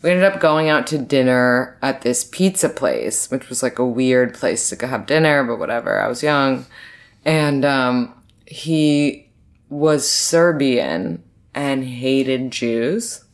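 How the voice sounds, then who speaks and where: A woman's voice: very deep voice, expressive, takes it slowly. A young woman co-hosting a casual podcast chat, telling dating stories with lots of "like" and acted-out quotes. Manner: chatty, lots of "like", acts out quotes.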